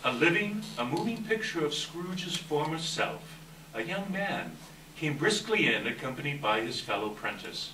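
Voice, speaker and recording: Male narrator, readers theater delivery, forceful and lively, roomy distant recording with faint noise. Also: fairly high pitch, normal speed. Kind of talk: dramatic scripted storytelling, live stage reading. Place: live event room, distant microphone. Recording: echoey hall from far off, faint noise.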